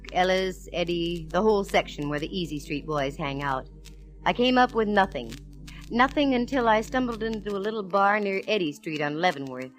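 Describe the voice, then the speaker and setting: An actress playing a cool, sassy, wisecracking private eye with wry, punchy delivery in 1940s radio detective drama. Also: female voice, on the low side, fairly bright tone. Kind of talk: 1940s radio detective drama, first-person narration and dialogue. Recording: faintly noisy vintage radio recording.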